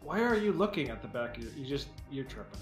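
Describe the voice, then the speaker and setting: Man, medium pitch, dry deadpan delivery, casual podcast banter, faint music behind. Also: quick pace. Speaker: podcast co-host. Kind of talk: casual comedic chat.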